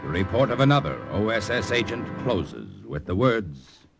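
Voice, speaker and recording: A man's voice: lowish voice, sing-song, moderate pace, gravelly. Portentous male radio announcer, swooping delivery. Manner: portentous, formal. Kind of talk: 1940s-50s dramatic radio announcing. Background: orchestral music with timpani.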